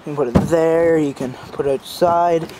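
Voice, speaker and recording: A man giving a casual, unscripted walkthrough as an amateur reviewer, talking in a halting, informal way outdoors on a handheld phone. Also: a little high, steady tone. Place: outdoors in a backyard. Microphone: handheld phone camera. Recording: outdoor phone recording with clear background noise. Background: passing cars.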